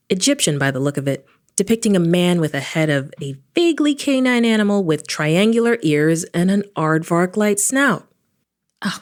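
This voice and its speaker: A woman's voice: lowish voice, natural voice. A woman playing a sarcastic, reckless adventurer who narrates her own audio drama in a wry, casual, self-deprecating way. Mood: tense narrating, then wary, irreverent. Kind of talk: first-person audio drama narration and dialogue.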